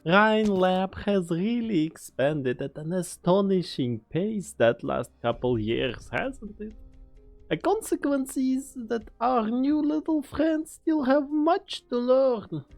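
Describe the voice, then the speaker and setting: A woman acts out an old male research director in a fan-dubbed game story. The voice creaks and moves slowly, swooping in pitch with sly courtesy. Music plays behind it. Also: lively intonation, a little mellow. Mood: sly, unhurried. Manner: slow, drawn-out, mock-courteous.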